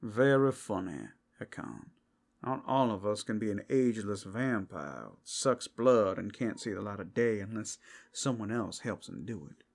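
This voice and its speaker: A man's voice: on the low side, animated, a little slow, creaky, full character voice. A man playing a wary, protective werewolf in an audio roleplay, muttering tersely and grudgingly. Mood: annoyed. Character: grumbling protective male werewolf, wary and reluctant.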